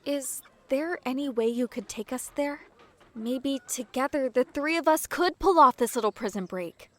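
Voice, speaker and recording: A woman's voice: high-pitched, normal speed, fairly bright tone. A woman playing a friendly, sympathetic young adventurer in an audio drama.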